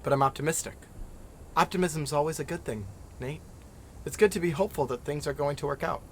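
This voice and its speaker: A man's voice: on the high side, brisk, a little hiss or hum, close to an everyday voice. A man playing a dry-witted, guarded scholar of prophecies in an audio drama, speaking in short, natural replies. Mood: dry, hushed. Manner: terse, dry, clipped replies.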